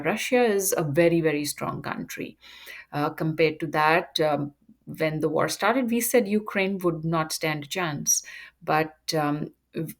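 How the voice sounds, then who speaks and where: A woman giving an unscripted talk to her audience, measured, with frequent ums and uhs. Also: low voice. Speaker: educator presenting a topic. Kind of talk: unscripted lecture monologue.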